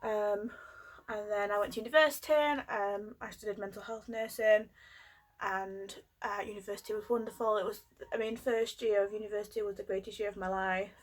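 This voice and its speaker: A woman in her twenties from Yorkshire with a cold, telling a personal story in a hesitant way with many pauses and ums. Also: mid-range voice, steady tone, poor audio. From Yorkshire, England. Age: 20s. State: has a cold, coughing. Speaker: vlogger.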